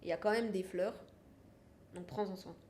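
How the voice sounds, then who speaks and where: A woman's voice: on the low side, steady tone, normal speed, darkish tone, a little hiss or hum. A woman from France, a podcast guest, talking frankly in a casual interview and repeating her phrases for emphasis.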